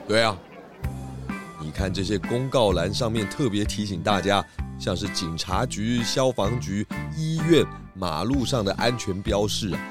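A calm cartoon pig detective with a fairly low voice. He is patient and kindly, explaining clues with gentle amusement in a children's mystery drama, over prominent music. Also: a little slow.

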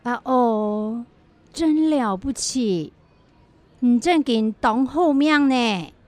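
A woman's voice: on the high side, drawn-out words, darkish tone, no room sound. A woman playing a friendly, inquisitive village auntie in a children's educational radio drama, talking in a folksy, admiring way.

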